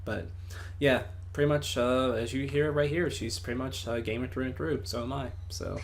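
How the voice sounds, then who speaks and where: A man's voice: mid-range voice, steady tone, normal speed, a little hiss or hum. A man hosting a casual interview over a voice call. He speaks earnestly and hands out motivational sayings. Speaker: interviewer, host. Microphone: computer mic on a voice call.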